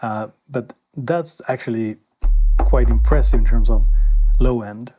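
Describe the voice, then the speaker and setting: Man demoing gear over loud synth music. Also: mid-range voice, measured pace. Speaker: synth reviewer and host. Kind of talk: casual product demo talk. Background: synthesizer demo sounds.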